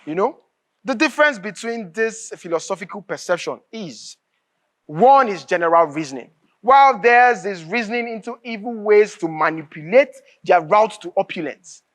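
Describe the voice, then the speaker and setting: An actress plays an earnest, indignant thinker on stage. Her voice sits at a middle pitch, and she speaks in deliberate, oratorical phrases as a confidante. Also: lively intonation, natural voice. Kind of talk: live stage drama acting.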